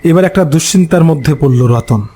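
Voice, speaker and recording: A man narrating a scripted horror story in a dramatic, suspenseful way, pausing between short phrases. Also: on the high side, lively intonation, mellow, a little hiss or hum. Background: background music.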